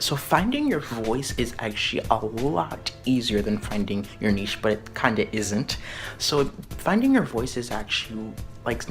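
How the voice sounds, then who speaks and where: Slightly raspy man hosting a writing vlog. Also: medium pitch, a bit bright. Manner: rambling, lots of fillers and restarts. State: raspy voice from not talking. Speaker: writing channel host. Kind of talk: casual tutorial vlog.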